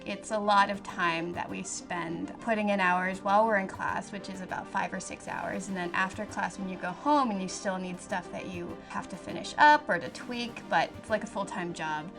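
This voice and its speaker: A woman's voice: medium pitch, fairly even intonation, moderate pace, creaky, hardly any pauses. A young woman, an animation student, talking reflectively in a documentary-style interview. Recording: music behind the voice. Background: music.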